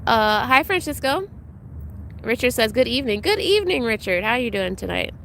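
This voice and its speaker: A woman's voice: on the high side, big pitch swings, brisk, fairly bright tone, a little hiss or hum. A young adult woman streaming live, chatting casually and greeting viewers by name, her voice slightly congested, with frequent ums. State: congested, recovering from illness.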